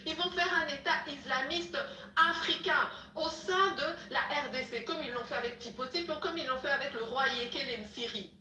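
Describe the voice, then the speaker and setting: Fervent high-voiced activist woman, echoey. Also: normal speed, sharp tone, slight background noise. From Congo (DRC). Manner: fervent, exhorting, repeats herself. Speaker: political activist. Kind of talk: impassioned voice message to a group.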